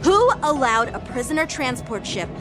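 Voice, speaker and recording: A young man voicing an earnest, dutiful young military lieutenant in a video game, speaking formally and with measured authority. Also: very high-pitched, sing-song. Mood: stern, questioning. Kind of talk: English video game voice acting. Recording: music behind the voice. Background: game music.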